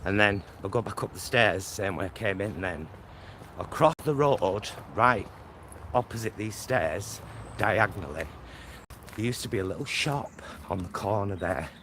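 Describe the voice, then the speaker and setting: A man's voice: lowish voice, expressive, moderate pace, fairly bright tone. A man chatting casually as he walks, vlogging and thinking aloud in short, rambling phrases. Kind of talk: casual chat while walking outdoors. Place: outdoors on a walk near motorway and lake. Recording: outdoor noise, traffic and ambience.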